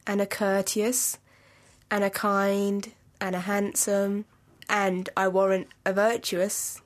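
A woman's voice: medium pitch, natural voice. A young woman student acting a teasing, garrulous older nurse in a Shakespeare audio play, rambling and bantering. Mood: teasing, brisk. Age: young adult. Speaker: student actor.

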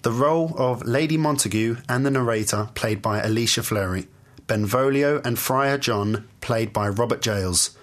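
A man's voice: mid-range voice, normal speed. A man with a British accent reading a scripted credits announcement for an audio play.

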